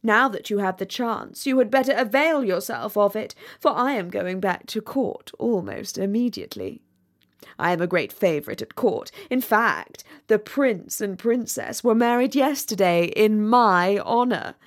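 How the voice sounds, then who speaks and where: A woman's voice: medium pitch, lively intonation, unhurried. A woman voicing a vain, pompous male rocket in a storybook, haughty and easily offended. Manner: pompous, haughty, self-important. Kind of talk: storybook character voice.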